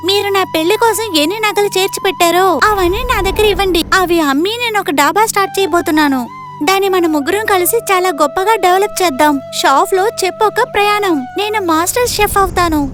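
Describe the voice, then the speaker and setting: A woman voicing a determined, spirited young daughter in an animated moral story. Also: extremely high pitch, quick pace, over music. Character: determined, spirited young daughter who dreams of being a chef.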